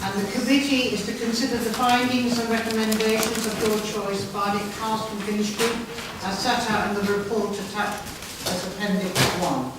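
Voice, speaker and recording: Female committee chair, formal delivery, slightly high voice, echoing hall recorded from a distance. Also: fairly even intonation, normal speed. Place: town hall committee room. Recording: echoey hall, distant mic, heavy noise.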